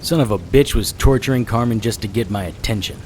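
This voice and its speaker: A man acting as a hard-boiled male private eye narrates in a low voice. He is terse and grimly vengeful, telling a noir audio drama in the first person over faint noise. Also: fairly quick, a little mellow, natural voice. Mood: anguished, angry.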